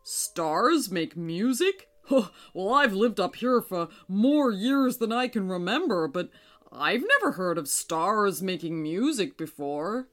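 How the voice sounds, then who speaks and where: A woman as a slow, kindly old man moon. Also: mid-range voice, sing-song, soft background music. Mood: curious, puzzled. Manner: polite, slow, gently musing.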